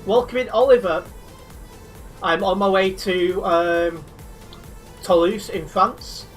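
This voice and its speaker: A man's voice: sits high, steady tone, takes it slowly, faint music behind. A man chatting casually to viewers while live-streaming a driving game, reading and answering chat, a little sleep deprived.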